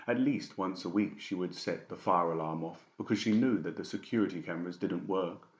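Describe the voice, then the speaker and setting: Deep-voiced man narrating, slightly muffled. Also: echoey room. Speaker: audiobook narrator. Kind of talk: dramatised scripted narration.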